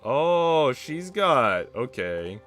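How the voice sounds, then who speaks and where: A man's voice: mid-range voice, very animated, very slow, a bit bright, soft background music. A man livestreaming in casual, conversational chat with his viewers. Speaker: livestreamer reading visual novels.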